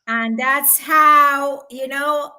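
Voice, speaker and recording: A middle-aged woman from Colombia, a guest consultant in a live-streamed interview, speaking thoughtfully and pausing often with fillers. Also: sits high, steady tone, bright, forceful tone.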